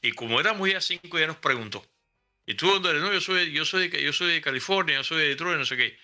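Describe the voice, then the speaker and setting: A man's voice: on the high side, animated, brisk, pushed and bright, faint music behind. An older Cuban man with a Cuban accent, telling a rambling personal story in casual conversation, often restarting his sentences. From Cuba. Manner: rambling anecdotes with many restarts. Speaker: guest.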